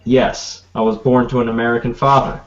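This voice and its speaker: A young man co-hosting a casual podcast. His voice sits at an ordinary middle pitch. He delivers dry, deadpan remarks in loose, easygoing banter. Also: fairly quick, lo-fi sound. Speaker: podcast co-host.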